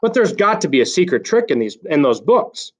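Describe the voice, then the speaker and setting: A man's voice: high-pitched, sing-song, brisk. A man voicing a skeptical young boy who blurts things out in a storybook reading. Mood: amazed.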